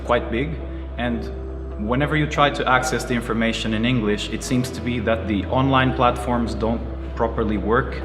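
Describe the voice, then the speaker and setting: Portuguese-born man, 30s, earnest interviewee; roomy echo with clear music bed. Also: medium pitch. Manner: measured, earnest, with frequent uh pauses. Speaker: karate teacher and entrepreneur. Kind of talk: interview answers. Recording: roomy echoey space with music behind. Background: music playing under the voice.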